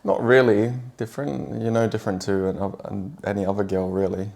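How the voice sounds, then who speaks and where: Low gravelly Englishman, relaxed. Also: brisk. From England. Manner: relaxed, hesitant, many fillers. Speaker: guest partner. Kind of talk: casual chat.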